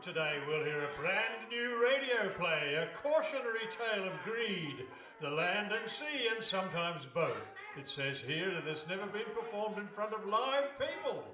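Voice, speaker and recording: A man's voice: high-pitched, very animated, moderate pace. Casting: flustered male show host played by a man, comic stage radio play, swooping delivery, roomy live recording. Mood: announcing. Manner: exasperated, theatrical projection. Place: hall stage before a live audience. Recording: distant, echoey hall recording, heavy noise.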